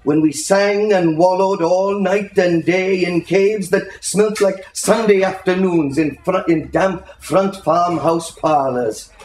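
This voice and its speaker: A man giving a theatrical, expressive literary reading, savouring the phrases as a storyteller. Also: sits high, takes it slowly, few pauses. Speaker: reader, storyteller. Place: live reading in an indoor shop. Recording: echoey room with faint background noise.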